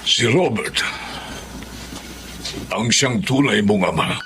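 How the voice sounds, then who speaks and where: A man's voice: medium pitch, takes it slowly, background noise, close to an everyday voice. A man playing an old grandfather, earnest and pleading, in Filipino radio drama style. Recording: loud dramatic music behind the voice.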